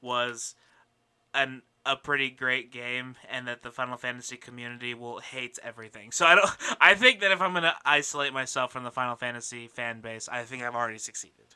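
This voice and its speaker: A man chatting casually on a podcast panel, animated and bantering. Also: mid-range voice, a little fast, smooth. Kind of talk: casual chat, gaming debate.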